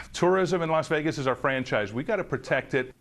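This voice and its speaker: A male spokesman making an earnest, deliberate point in an interview clip. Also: on the high side, a bit bright.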